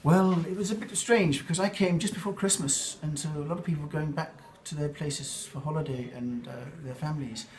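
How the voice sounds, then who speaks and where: Older English man, higher-pitched, reflective interviewee who rambles and restarts. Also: fairly even intonation, a little fast, few pauses, a little mellow, rough recording. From England. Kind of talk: casual interview answers.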